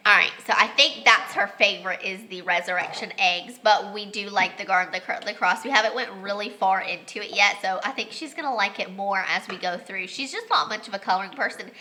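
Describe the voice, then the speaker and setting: Congested young mom vlogging a home lesson. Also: female voice, medium pitch, fairly quick, few pauses, pushed and bright, a little hiss or hum. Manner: chatty, with many ums and restarts. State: congested, losing her voice. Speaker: homeschooling mom. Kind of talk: casual home vlog lesson. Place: family home with children.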